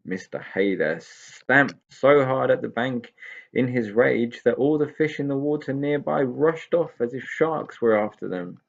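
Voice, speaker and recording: A man reading a children's story aloud with expressive narration. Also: medium pitch, unhurried, vocal fry, muffled recording.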